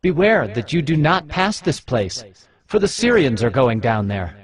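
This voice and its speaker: A man's voice: mid-range voice, very animated, measured pace. A man playing a commanding, fervent prophet with solemn, declamatory delivery in a dramatized Bible reading. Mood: assured. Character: commanding, fervent holy prophet of ancient Israel. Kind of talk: dramatized Bible audio acting.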